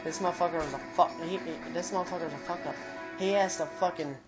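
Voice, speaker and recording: Man, tipsy, stoned, profane, rambling, loud music in the room. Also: sits high, fast talker. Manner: profane, meandering, tipsy storytelling. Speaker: vlogger. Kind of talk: rambling casual chat. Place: at home indoors.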